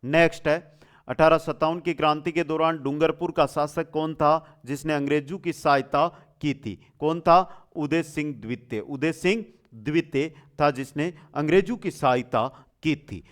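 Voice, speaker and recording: A man's voice: fairly high pitch, normal speed. A man teaching an exam lesson, posing questions, repeating the answers and addressing students familiarly. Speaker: online exam coaching teacher. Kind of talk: quiz-style lesson, asking questions and giving answers.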